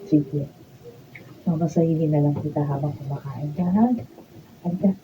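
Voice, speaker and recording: A woman's voice: low voice, fairly even intonation, moderate pace, natural voice. A woman acting a jealous, pleading wife in a Filipino radio drama, with a Filipino accent. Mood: coaxing. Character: jealous, pleading wife begging for her husband's love. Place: radio drama recording session. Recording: tinny, noisy band-limited raw studio video.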